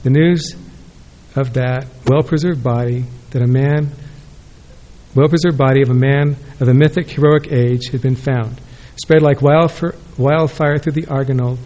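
Male conference respondent at podium mic, conversational and rambling, mid pitch. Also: fairly even intonation, moderate pace, warm tone. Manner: rambling, self-correcting, conversational. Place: conference room with audience. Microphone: podium microphone.

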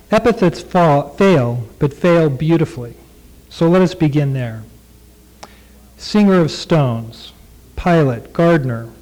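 A man, a former student, reading aloud a prose tribute he wrote, halting and soft-spoken between passages and slow and measured as he reads. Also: on the high side, rough recording.

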